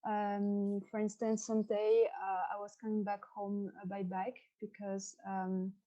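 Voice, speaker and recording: A woman's voice: mid-range voice, flat delivery, measured pace. A French woman in her twenties with a French accent, hesitantly telling a personal story on a podcast. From France. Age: 20s. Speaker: podcast participant. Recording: dull lo-fi microphone sound.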